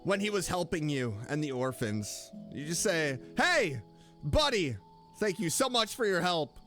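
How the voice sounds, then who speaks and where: A man's voice: fairly high pitch, big pitch swings, unhurried, fairly bright tone. A man doing casual, playful gameplay commentary as a streamer, talking back to the game's characters. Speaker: streamer, gaming host. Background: faint game music.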